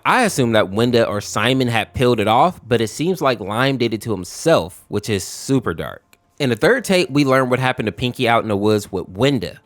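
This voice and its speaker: A man's voice: mid-range voice, dry recording. A man narrating an explainer commentary in an informal, conversational way. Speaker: video narrator explaining horror lore. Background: music bed.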